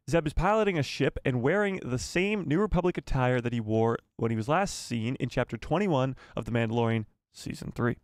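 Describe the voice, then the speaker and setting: A man's voice: medium pitch, no room sound, clean studio sound. A man hosting a live fan news stream, reading news and adding rambling, self-correcting asides to his viewers. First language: not Spanish. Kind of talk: casual live news chat.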